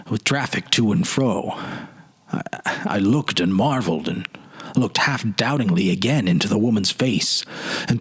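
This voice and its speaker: Wistful, haunted gentleman played by a male reader, low husky voice, halting earnest delivery, for literary audio drama. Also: exaggerated voice. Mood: hushed wonder. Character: Wallace, haunted wistful man recalling a lost childhood garden.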